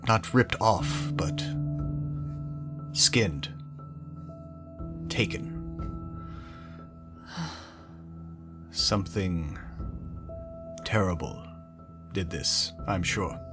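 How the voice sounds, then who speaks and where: A man voicing a serious, worldly young hunter who speaks haltingly and sounds older than his years in a dark fantasy game. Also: deep voice, animated, music in the background, exaggerated voice. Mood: grim, haunted. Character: grave, worldly young male hunter in his early twenties. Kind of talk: in-character dark fantasy role-play.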